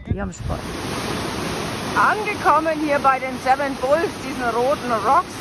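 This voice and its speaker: A woman talks casually as a travel vlogger. Her voice is recorded outdoors, with clear engine and water noise behind it. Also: on the high side.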